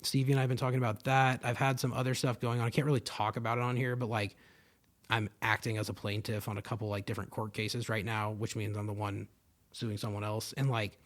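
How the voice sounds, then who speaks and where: A man's voice: on the low side, level tone, quick pace. A young man hosting his own podcast, talking in a loose, rambling monologue full of fillers and swearing, into a podcast microphone. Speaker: podcast host. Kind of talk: casual solo podcast monologue.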